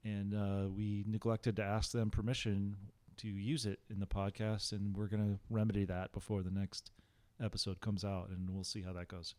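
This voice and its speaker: A man co-hosting a casual podcast, talking in a halting, self-deprecating way. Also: on the low side, completely flat delivery, a little mellow, clean tone. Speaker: podcast co-host.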